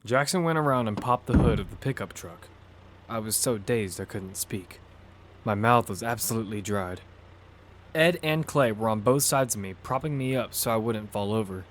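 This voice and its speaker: A man's voice: lowish voice, expressive, normal speed, slight background noise, lightly acted. A man acting a weary, grieving widowed father in a survival audio drama. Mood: weary narration. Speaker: narrator and lead actor. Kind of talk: dramatic first-person audio fiction.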